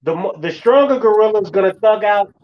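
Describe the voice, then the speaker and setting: A man's voice: high voice, expressive, brisk, muffled recording. A man in his forties talking casually, repeating phrases for emphasis as he makes his point. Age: 40s. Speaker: host. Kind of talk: casual chat.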